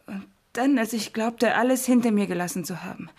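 A woman's voice: on the high side, a little fast, darkish tone, close to an everyday voice. An actress playing a haunted, weary mother in a radio play, confiding in a hesitant, despairing way. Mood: fearful, confiding.

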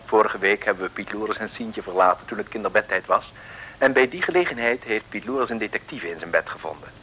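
A man's voice: on the low side, expressive, brisk, sharp tone, slight background noise, rough recording. A man narrating a 1950s radio serial, chattily addressing the listeners as a genial storyteller.

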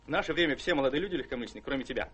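Husky, carefree young rake. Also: male voice, mid-range voice, expressive, racing, a bit bright. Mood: breezy, defensive. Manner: breezy, swaggering, gallant. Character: carefree, charming young rake of an army officer. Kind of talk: theatrical radio drama acting.

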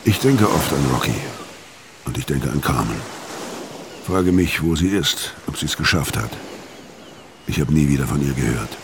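An actor playing a weary, haunted older ex-convict, narrating a radio crime drama in a brooding, measured way. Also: male voice, rumbling low, expressive, noisy background. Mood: wistful. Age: 50s. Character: weary, haunted older male ex-convict telling his story.

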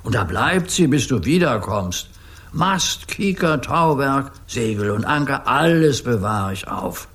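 A man's voice: mid-range voice, expressive, unhurried, fairly bright tone. An older man acting a playful grandpa who plays sea captain in a children's radio play, commanding and grandly earnest. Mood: reassuring.

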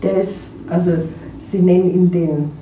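A woman's voice: lowish voice, steady tone, unhurried, dark tone. A woman talking casually and hesitantly, searching for words and repeating herself. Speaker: interviewee. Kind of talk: casual spontaneous talk. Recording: distant, echoey room with faint noise.

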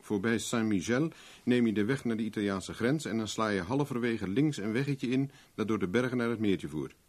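An actor playing a cool private investigator in a radio play, dry and nonchalant with an ironic edge. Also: male voice, fairly low pitch, a little fast, a little mellow, close to an everyday voice. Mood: businesslike. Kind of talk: 1970s Dutch radio play acting.

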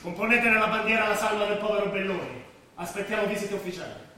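A hard, officious police brigadier, high and forceful in voice. He is curt and contemptuous, and sounds distant in a very echoey hall. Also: fast talker. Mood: officious coldness. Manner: curt, commanding. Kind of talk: stage drama acting. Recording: echoey theatre stage, distant, faint noise.

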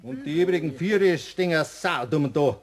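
An actor playing a hearty Bavarian bowling club companion in a radio play, calling out in dialect. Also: male voice, a little high, animated, moderate pace, loud music behind the voice, lightly acted. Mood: jovial. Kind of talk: German radio play acting.

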